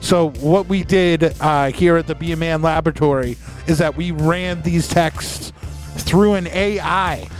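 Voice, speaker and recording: A man with a fairly high voice chats casually as a podcast co-host. He jokes and swears freely, with music playing along. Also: unhurried. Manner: profane, joking. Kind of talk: casual chat.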